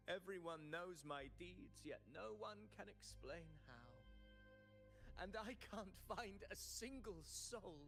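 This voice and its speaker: A high-voiced, brooding, theatrical young man. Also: fairly bright tone, full character voice. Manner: dramatic, deliberate, philosophical monologue delivery. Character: brooding, theatrical young man questioning his own existence. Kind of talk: video game voice acting. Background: background music.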